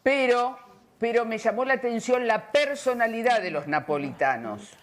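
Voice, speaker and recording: A woman's voice: fairly high pitch, lively intonation, moderate pace. Argentine woman interviewer, hesitant delivery. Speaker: panel interviewer. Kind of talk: conversational interviewing.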